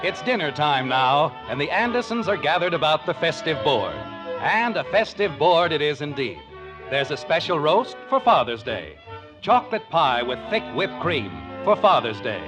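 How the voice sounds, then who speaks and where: A polished American male announcer from 1950s radio. His voice is high and very lively in pitch, formal in delivery. Loud music plays along with him. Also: normal speed, rough recording.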